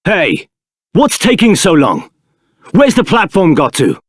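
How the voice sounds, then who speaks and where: An actor playing an arrogant, ambitious and bitter villain in a fantasy video game, speaking in a haughty, declamatory, deliberate way. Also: male voice, on the high side, wildly swooping pitch, no room sound, studio quality, heavily acted. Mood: disdainful, impatient. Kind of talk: theatrical video game character acting.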